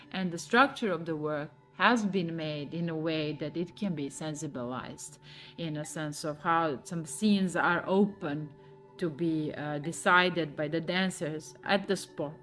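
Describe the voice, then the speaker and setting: Woman, lowish voice, measured interview answers, soft music behind. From Serbia. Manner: thoughtful, many pauses and fillers. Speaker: choreographer.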